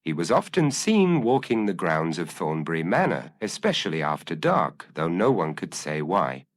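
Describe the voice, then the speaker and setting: A man's voice: sits low, sing-song, moderate pace. A man voicing an earnest, anxious village constable who speaks formally and uneasily in an audio mystery. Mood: uneasy, grave.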